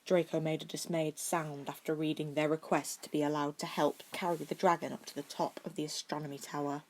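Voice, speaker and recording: Woman, lower-pitched voice, amateur audiobook narration, expressive, occasional stumbles. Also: a little fast. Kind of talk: scripted fan fiction narration.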